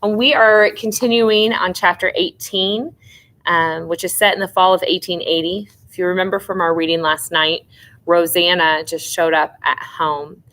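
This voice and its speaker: A woman's voice: fairly low pitch, steady tone, moderate pace, a bit bright, rough recording. A woman teacher reading a novel aloud expressively, with informal asides to her students.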